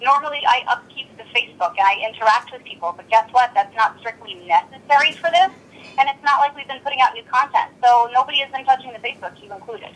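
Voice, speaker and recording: Exasperated higher-pitched woman on the phone. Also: fast talker, a bit bright. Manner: blunt, exasperated, swearing. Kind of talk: tense phone argument. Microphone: telephone. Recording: recorded telephone call.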